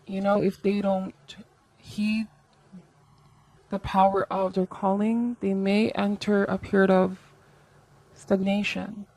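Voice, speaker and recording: A woman's voice: medium pitch, level tone, slow pace, long pauses, warm tone, poor audio. Woman giving a reflective tarot reading. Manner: reflective, advisory, seeks agreement with tags like okay and right. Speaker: tarot reader, host. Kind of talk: conversational tarot reading, addressed to the viewer.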